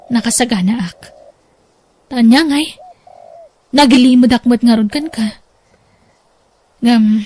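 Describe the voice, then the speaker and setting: A woman's voice: fairly high pitch, darkish tone, slight background noise, lightly acted. A woman with an Ilocano accent playing a sorrowful, apologetic mother in a radio drama, emotional and pleading. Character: sorrowful, apologetic mother confessing about her child.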